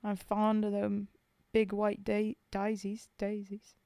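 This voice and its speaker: A rough, sentimental common soldier from the trenches, read aloud by a woman, speaks his mourning in folksy working-class dialect. The delivery is slow and even, with a mellow, smooth tone and a dry, close sound. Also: mid-range voice, no echo, lightly acted. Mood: wistful. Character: rough common male British WWI soldier, grieving comrade. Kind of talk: poetry reading.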